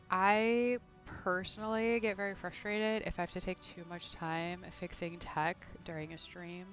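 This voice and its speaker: A woman's voice: lowish voice, highs cut off. A woman chatting casually and thinking aloud while streaming a video game from her room at home. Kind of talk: casual live gameplay chat.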